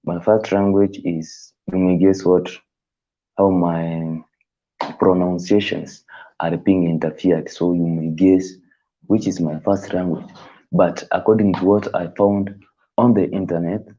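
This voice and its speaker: A man whose first language is not English, hosting a live stream in casual, halting talk that rephrases as he thinks aloud. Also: low-pitched, steady tone, dark tone, lo-fi sound.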